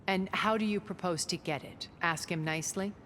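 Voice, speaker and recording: An actress playing a cool, haughty, middle-aged schemer in a video game, speaking in a measured, formal and wry manner. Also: female voice, fairly low pitch, a bit bright, a little hiss or hum, natural voice. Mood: wary, wry. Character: cool, calculating woman schemer, haughty and persuasive. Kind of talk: dramatic video game cutscene acting.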